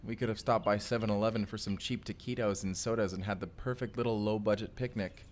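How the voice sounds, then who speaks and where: Man acting as a low-voiced, tender young husband. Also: steady tone, fast, lightly acted. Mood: playful, affectionate. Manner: intimate, rambling, bashful. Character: tender, boyish future husband, a time traveller. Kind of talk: romantic audio roleplay acting, addressed to listener.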